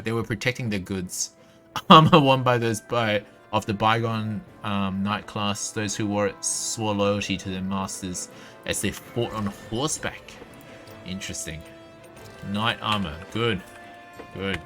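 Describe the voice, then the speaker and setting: A man's voice: on the low side, pushed and bright. A man doing casual, playful live video game commentary, talking to himself and his viewers. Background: video game music.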